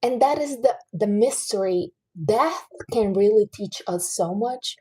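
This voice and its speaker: Mellow, husky woman in her late thirties. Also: medium pitch, lively intonation, a little slow. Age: 30s. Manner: chatty and earnest, giving life advice to viewers. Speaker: livestream host. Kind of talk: casual livestream chat.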